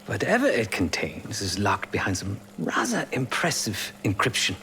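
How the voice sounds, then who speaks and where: A man's voice: fairly low pitch, wildly swooping pitch, moderate pace, bright, forceful tone, slight background noise, full character voice. A man playing a courtly, remorseful former secret policeman turned hacker in a video game, formal and philosophical with a wry edge. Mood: reflective. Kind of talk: video game dialogue acting.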